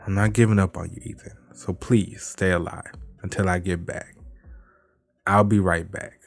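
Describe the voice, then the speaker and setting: A man's voice: sits low, fairly even intonation, normal speed, vocal fry, soft background music. A man reading aloud a loyal, desperate young healer from a fantasy game webtoon, pleading and earnest. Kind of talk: webtoon dialogue read aloud.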